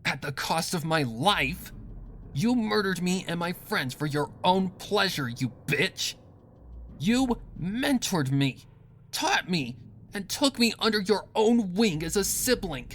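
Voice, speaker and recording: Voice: medium pitch, sing-song, a little hiss or hum, pitched above the performer's own voice. A performer voicing a wounded, defiant young female pony in a storybook reading, accusing with raw emotion. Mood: anguished rage. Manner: emotional, accusing, drawn-out outbursts.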